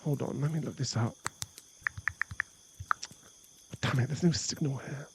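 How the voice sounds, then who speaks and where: Man playing a snarky, higher-pitched jealous vampire. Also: quick pace, creaky, noisy background, natural voice. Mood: flustered.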